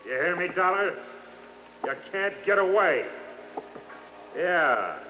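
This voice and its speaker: A man's voice: sits high, big pitch swings, slow pace, full character voice. An actor playing a menacing killer stalking his prey in a 1950s radio drama, with a threatening delivery. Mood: menacing, gloating. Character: menacing male killer hunting his cornered enemy. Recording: muffled, echoey, noisy old radio recording.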